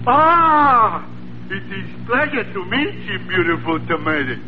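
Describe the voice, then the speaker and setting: Slow, dopey male stooge, higher-pitched, cheerful and dim, 1940s radio comedy, noisy old recording. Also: sing-song, phone-quality sound. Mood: blithely confident. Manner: slow, dopey, simple-minded. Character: dim-witted, cheerful lunk, a slow man, eager stooge.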